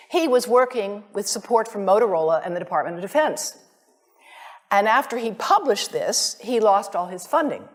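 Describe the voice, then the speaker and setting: An American woman giving an academic presentation as a visiting scientist, speaking in a deliberate, emphatic way straight to her listeners. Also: medium pitch. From United States.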